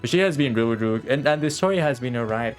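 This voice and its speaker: Male game streamer, mid-pitched, informal halting commentary with repeated phrases, some music behind. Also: expressive. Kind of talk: casual live gameplay commentary.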